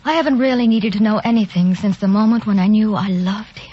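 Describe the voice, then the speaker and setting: A woman's voice: mid-range voice, a little fast, warm tone, close to an everyday voice. An actress playing a young woman pleading earnestly for the man she loves in a 1940s radio drama. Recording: muffled old radio recording with music.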